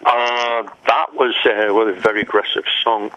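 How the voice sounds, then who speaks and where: Wry older Englishman, low muffled voice. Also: little pitch movement, moderate pace, bright, forceful tone. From England. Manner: wry, laughing, rambling anecdotes. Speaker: musician guest. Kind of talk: casual interview storytelling. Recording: muffled lo-fi audio.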